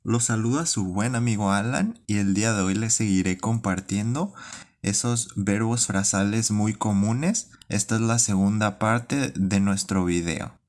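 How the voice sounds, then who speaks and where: A man who speaks as his teaches English phrases, reading each one slowly and then word by word. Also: on the low side. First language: Spanish. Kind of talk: bilingual language lesson, slow drilled repetition.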